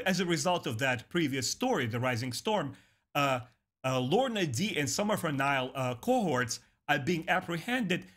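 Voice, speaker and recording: A man's voice: medium pitch, a bit bright. A man hosting a review show, giving a casual spoken review and summary. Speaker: host of a book review show.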